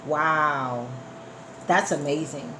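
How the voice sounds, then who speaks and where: A woman's voice: low voice, extremely slow. A woman hosting a radio show in casual, effusive chat, speaking familiarly to listeners and repeating phrases for emphasis. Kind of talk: casual live interview chat. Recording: echoey room with background noise.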